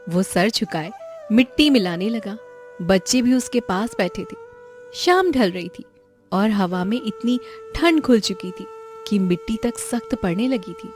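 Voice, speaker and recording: A woman's voice: mid-range voice, a little mellow. A woman narrator reading a scripted moral story. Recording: faint music behind the voice.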